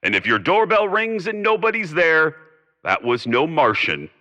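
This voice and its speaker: A man's voice: on the high side, sing-song, normal speed, bright, forceful tone, long reverb tail, dull audio. A man hosting a radio show, delivering a scripted sign-off in old-time radio drama style, theatrical and mock-solemn.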